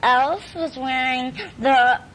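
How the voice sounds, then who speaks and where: A young girl talking softly and hesitantly in short answers at bedtime in a bedroom at home. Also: sits high, steady tone, takes it slowly. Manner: soft, hesitant, few words. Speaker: young daughter listening to a bedtime story.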